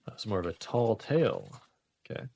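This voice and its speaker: A man's voice: fairly low pitch, wildly swooping pitch, a little fast, vocal fry, darkish tone, slightly rough, no room sound. A man hosting a radio comedy show, reading jokes and bantering casually with dry, mock-serious commentary. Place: radio studio.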